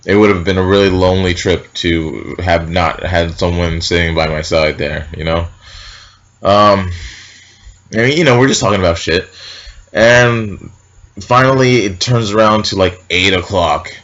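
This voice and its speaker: A young man telling a story casually and with a lot of swearing, with a loud, heavy voice, acting out the shouted lines he quotes. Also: sits low, measured pace. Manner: animated, profane, acts out shouted quotes. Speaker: vlogger. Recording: dull lo-fi sound.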